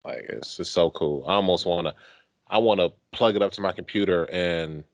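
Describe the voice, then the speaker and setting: A man with a very deep voice talks casually as a podcast co-host. His delivery is conversational and enthusiastic, recorded close and very dry, with little room sound. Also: a little fast, no echo, dull audio. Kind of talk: casual chat.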